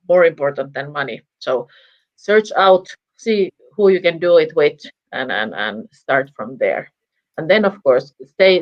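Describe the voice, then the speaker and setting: Finnish-accented woman, halting with restarts, video call, muffled lo-fi audio. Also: deep voice. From Finland. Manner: hesitant, many restarts and repeated words. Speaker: guest entrepreneur. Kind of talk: casual video-call interview.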